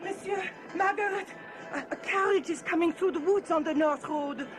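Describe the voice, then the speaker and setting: An actress playing an excitable, melodramatic governess, shrill and hysterical, in a radio drama. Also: female voice, normal speed, music in the background. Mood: panicked. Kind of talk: old-time radio horror drama acting.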